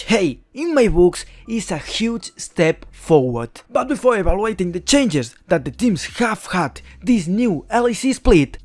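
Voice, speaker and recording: A man's voice: sits high, no echo. A young man from Spain with a Spanish accent, giving blunt, opinionated scripted commentary on esports teams as a YouTuber. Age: young adult.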